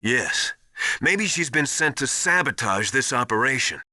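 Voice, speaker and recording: Forceful, suspicious military mentor. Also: male voice, mid-range voice, sing-song, no echo, clean studio sound, exaggerated voice. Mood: grave, suspicious. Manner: deliberate, forceful. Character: stern, suspicious male military mentor, insistent and accusing. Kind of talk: dramatic video game voice acting.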